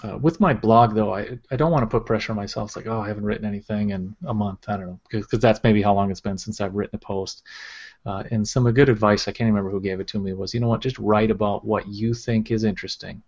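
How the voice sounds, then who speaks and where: A man's voice: on the low side, quick pace. A middle-aged man, a school administrator, talking steadily in a casual interview with many fillers. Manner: steady, filler-heavy with many "you know"s. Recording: dull lo-fi sound.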